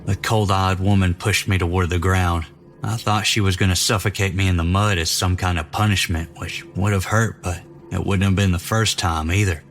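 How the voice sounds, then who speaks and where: A young soldier haunted by what he has seen, a fanatic with a lowish voice. He speaks gravely and deliberately, like a horror drama confession, with clear music running underneath. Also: fairly even intonation, a bit bright. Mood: grim recounting. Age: young adult.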